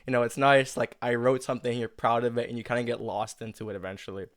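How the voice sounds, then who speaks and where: Clear-voiced man hosting a casual interview. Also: medium pitch, flat delivery, fast, no room sound, studio quality. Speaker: host interviewing a collaborator, writer of an audio drama. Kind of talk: casual creator commentary interview.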